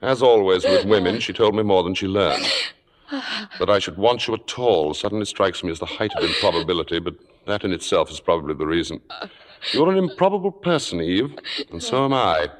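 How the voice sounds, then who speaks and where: An actor playing a suave, domineering critic in 1950s radio drama, speaking with polished, sardonic precision. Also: male voice, deep voice, lively intonation, a little fast. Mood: cold, domineering. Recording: old radio broadcast, music behind.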